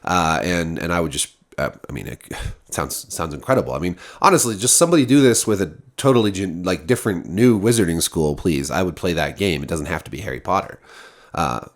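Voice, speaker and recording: A man's voice: low-pitched, expressive, a little fast. A man with a stuffy, nasal voice, musing aloud about games in a rambling, casual way to his viewers. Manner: rambling, many restarts and fillers. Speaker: video creator. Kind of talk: casual unscripted musing to camera.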